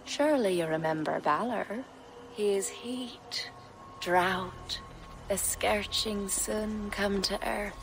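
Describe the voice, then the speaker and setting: A woman playing a solemn, mystical pagan druid in a video game, grave, measured and cryptic. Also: medium pitch, noisy background. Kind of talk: dramatic video game acting. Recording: faint background ambience.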